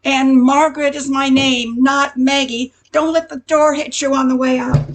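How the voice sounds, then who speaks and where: A woman's voice: high-pitched, flat delivery, moderate pace, lightly acted. A woman playing a brash, touchy diner waitress in a radio comedy play, tough-talking with slangy grammar. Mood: brash, indignant.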